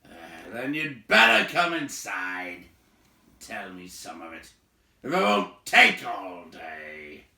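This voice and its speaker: A man voicing a huge, gruff, bearish storybook man who is suspicious and blunt, with a growling, drawn-out delivery. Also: sits low, sing-song, bright, forceful tone, heavily acted. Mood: gruff, curious. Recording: echoey room, distant from mic.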